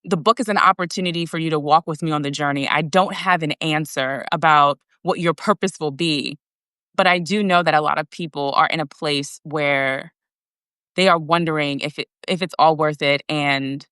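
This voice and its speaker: A woman's voice: low voice, fairly even intonation, normal speed, bright, forceful tone, no echo, clean studio sound. A woman guest and author talking earnestly in a casual live video conversation, explaining her ideas steadily. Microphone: video call.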